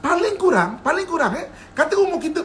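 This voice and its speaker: A man's voice: very high-pitched, sing-song, fast talker, a bit bright, a little hiss or hum. A man with a Malaysian Malay accent giving a live-streamed religious lecture. He is playful, keeps asking whether his listeners follow, and often chuckles. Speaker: religious teacher.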